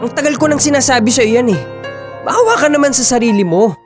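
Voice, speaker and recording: Fed-up young boyfriend, cold n scornful, radio drama style. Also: male voice, very high voice, expressive, fast, music in the background. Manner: blunt, scornful. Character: cold, exasperated young boyfriend rejecting a clingy lover.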